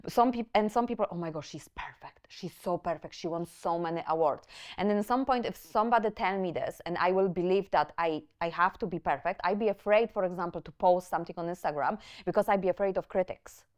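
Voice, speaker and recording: A woman's voice: on the low side, brisk. A woman from Poland with a Polish accent, hosting a podcast as a nail educator, talking animatedly with frequent restarts. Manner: animated, restarts words, loose English grammar. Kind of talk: casual chat.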